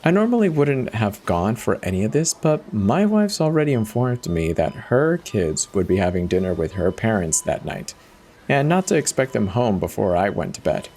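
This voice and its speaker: A man's voice: fairly low pitch, very animated, moderate pace, darkish tone, a little hiss or hum. A man giving a dramatic first-person reading of a horror story as its narrator.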